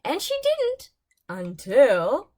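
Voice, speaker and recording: Woman reading storybooks, slow and very animated. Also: high voice. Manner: deliberate, dramatic emphasis on key words. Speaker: children's story reader. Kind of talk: expressive bedtime storybook narration for young children.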